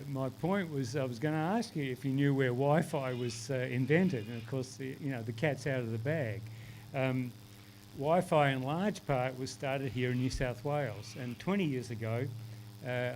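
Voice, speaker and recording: An older man with an Australian accent giving a public ceremony speech, pausing often with fillers and restarting his words. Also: mid-range voice, darkish tone, slight background noise, rough recording. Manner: halting, many fillers and restarts. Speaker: Australia Day ambassador speaker.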